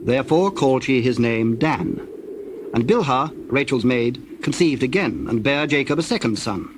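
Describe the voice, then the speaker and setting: A man narrating scripture as a formal, measured storyteller in a scripted dramatization. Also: mid-range voice, lively intonation. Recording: clear background noise, birdsong and doves.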